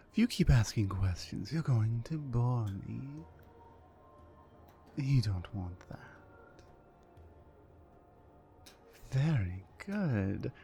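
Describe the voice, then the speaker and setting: A woman playing a towering, mean, dominant orc captor in an ASMR roleplay, commanding and deliberate. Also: rumbling low, dark tone, heavily acted. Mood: smug, condescending. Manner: menacing, commanding, slow and deliberate.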